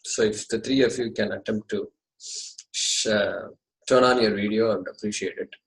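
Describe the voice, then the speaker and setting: A man's voice: fairly low pitch, fairly even intonation, normal speed, reverberant, low-quality recording. A man in his fifties with an Indian accent, a native Tamil speaker, courteously opening an online Sanskrit class from his apartment over a video call. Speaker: Sanskrit teacher. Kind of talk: online class orientation talk.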